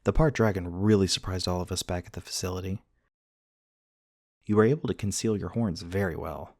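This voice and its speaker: A man's voice: low-pitched, steady tone, fairly quick, a little mellow, no room sound, clean studio sound. A man playing an earnest, formal, slightly awkward research scientist in a one-sided ASMR roleplay, speaking politely in wordy, precise sentences. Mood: curious, friendly.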